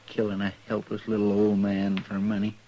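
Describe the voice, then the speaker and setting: An actor playing an earnest, folksy western deputy with a rural American drawl in a radio drama. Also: male voice, fairly low pitch, completely flat delivery, moderate pace, dark tone, dull audio, natural voice. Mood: somber wonder. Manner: folksy, plainspoken, deferential. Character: earnest, folksy deputy sidekick in the Old West. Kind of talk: 1950s radio western acting. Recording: noisy 1950s radio recording.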